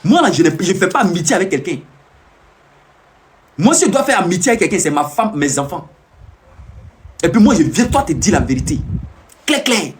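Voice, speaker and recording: Man, live-stream monologue, high-pitched, swooping animated delivery, emphatic and repetitive, preaching warnings at viewers. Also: moderate pace, long pauses, slight background noise. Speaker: self-proclaimed peace ambassador streaming live.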